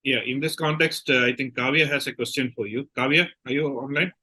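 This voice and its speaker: A man's voice: mid-range voice, fairly quick, bright, forceful tone, breathy edge. A man moderating an online talk as host, in a casual way.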